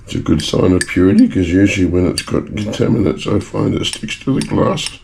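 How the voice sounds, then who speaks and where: A man with a hoarse, failing voice from a bad cough, casually talking viewers through his home refining work in a chatty, rambling way. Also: sits low, brisk, a little mellow. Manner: chatty, rambling, self-mocking asides. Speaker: hobbyist gold refiner and video maker. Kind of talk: casual talk-through of a lab process.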